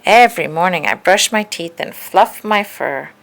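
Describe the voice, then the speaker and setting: A woman's voice: lowish voice, lively intonation, moderate pace, vocal fry, poor audio. A woman voicing an earnest, easily dismayed male bear in a children's storybook, with emphatic exclamations.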